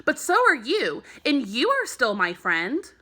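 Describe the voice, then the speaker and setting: A woman reading a children's storybook as an earnest, excitable young boy, sincere and emphatic. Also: sits high, unhurried, heavily acted. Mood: matter-of-fact. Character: earnest, excitable young boy who loves his pet. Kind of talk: children's storybook read-aloud.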